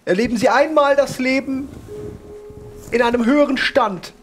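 A man's voice: very high voice, normal speed, soft background music. A man playing a pompous, condescending aristocrat in a roleplay audio play. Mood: scornful, haughty. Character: snobbish, condescending aristocratic gentleman.

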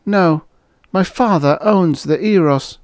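A man's voice: high voice, takes it slowly, a little mellow, dry recording, studio quality, pitched above the performer's own voice. A man voicing a sympathetic, unhappy and guarded young waitress in a science fiction story. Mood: unhappy, resigned.

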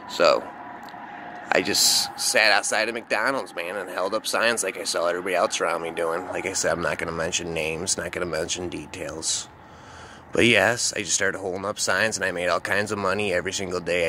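Low-voiced rambling male storyteller, noisy recording. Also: creaky. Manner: rambling, repeats filler asides and "man". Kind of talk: casual first-person horror storytelling.

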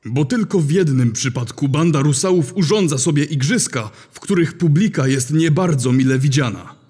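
A man playing a grumpy, sharp-tongued wizard in an audio drama, talking with weary scorn. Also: on the high side, expressive, normal speed, slightly rough. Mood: irritated, weary.

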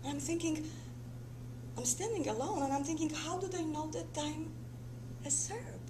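Actress as an agitated high-voiced bitter woman. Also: echoey room, natural voice. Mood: halting, tense. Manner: halting, repetitive, emotionally dramatic. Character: distressed, bitter Croatian wife and mother, agitated. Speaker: stage actor. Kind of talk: theatrical one-woman monologue. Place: indoor theatre stage, rehearsal. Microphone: camera a few metres away. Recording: noisy theatre camera recording with hum.

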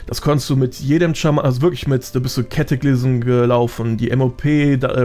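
A man's voice: medium pitch, darkish tone. A man talking casually as a podcast guest, colloquial and sprinkled with English gaming slang.

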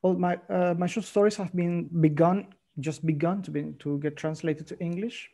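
A young man speaks thoughtfully on a video call, pausing and restarting as he picks his words. His voice is mellow and sits a touch high for a man. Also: fairly even intonation, vocal fry. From Venezuela. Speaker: panelist writer. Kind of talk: panel discussion on a video call. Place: home room, indoors. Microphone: video call, earphone mic.

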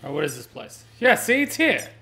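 A man's voice: high-pitched, very animated, fairly quick, creaky, sharp tone. A man giving casual gameplay commentary as a streamer, talking to himself and swearing now and then. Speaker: game streamer.

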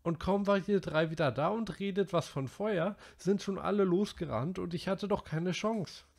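Man reading aloud as anxious chubby schoolboy, high and whiny, audiobook. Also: normal speed, gravelly. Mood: indignant. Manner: high, whiny and breathless pleading.

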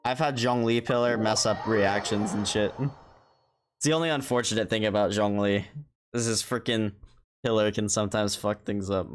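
A man's voice: lowish voice, fairly even intonation, normal speed, slight background noise. A man chatting casually on a gaming livestream, swearing freely and poking fun at himself. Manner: casual, profane, self-deprecating. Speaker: game streamer. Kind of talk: casual livestream gameplay chat. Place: indoor room, streaming setup. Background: game music.

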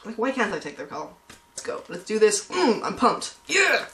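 A woman's voice: mid-range voice, very animated, normal speed, pushed and bright. A woman in her twenties talking in a casual, playful, self-mocking comic vlog style, recorded at home. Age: 20s. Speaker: comedy vlogger. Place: small room at home. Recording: roomy home webcam sound.

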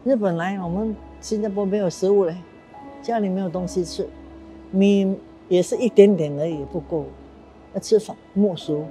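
A woman in her eighties tells her story earnestly and with emotion. Her voice sits in a middle pitch. Music plays clearly beneath her speech. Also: normal speed, mellow. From Singapore. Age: 80s. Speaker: war survivor interviewee. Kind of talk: documentary interview. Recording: clear music bed underneath.

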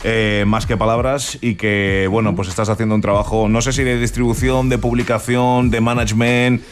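Un hombre, presentador de radio, que entrevista con preguntas pausadas y algunas vacilaciones. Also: male voice, lowish voice, flat delivery. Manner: measured, probing questions with restarts. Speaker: radio host. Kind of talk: radio interview.

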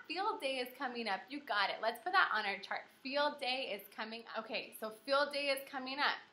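Bright-voiced young American woman teaching children. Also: a little high. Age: young adult. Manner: animated, encouraging, instructional, speaking simply to children. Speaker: elementary school teacher. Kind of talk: interactive children's read-aloud lesson. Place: small indoor room with whiteboard.

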